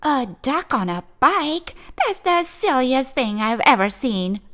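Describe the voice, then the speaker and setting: Unimpressed female farm cow for a storybook, voiced by a woman. Incredulous, animated, raised pitch. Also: takes it slowly, noisy background, exaggerated voice. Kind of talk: children's storybook character voice.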